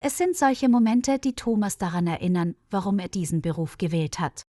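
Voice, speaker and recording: A woman's voice: mid-range voice, lively intonation, mellow, no room sound. Woman narrating a story, dry close sound. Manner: clear, measured, evenly paced reading. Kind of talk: scripted story narration for language learners.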